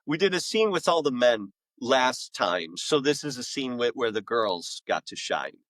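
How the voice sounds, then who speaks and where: A man in middle age with a medium-pitched voice, talking casually as a podcast guest. He tells stories with enthusiasm and dramatic emphasis, often repeating a word to land it. The sound is close and dry. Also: big pitch swings, a bit bright, no echo. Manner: enthusiastic, emphatic storyteller with dramatic pauses and repetition. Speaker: author and voice-acting school director, podcast guest. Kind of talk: casual, animated interview chat.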